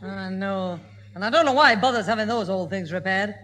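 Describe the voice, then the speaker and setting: An actress playing a brisk, bossy middle-aged mother in a vintage radio sitcom. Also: mid-range voice, close to an everyday voice. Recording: hissy 1970 radio comedy recording.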